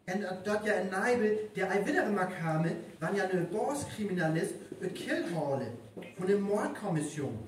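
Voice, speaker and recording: A performer with a North Frisian accent playing a brisk village character in a live radio play. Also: mid-range voice, lively intonation, close to an everyday voice. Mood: informing. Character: brisk village character passing on police news. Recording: very echoey live stage, distant, faint noise.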